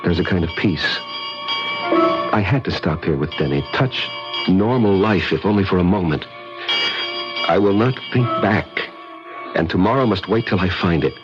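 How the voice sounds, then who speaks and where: Very deep American traveler, old radio. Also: male voice, expressive, moderate pace, pauses often. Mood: reflective, brooding. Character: determined, skeptical, friendly American traveler Brian. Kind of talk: radio drama acting with brooding inner monologue. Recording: muffled old radio recording, music behind.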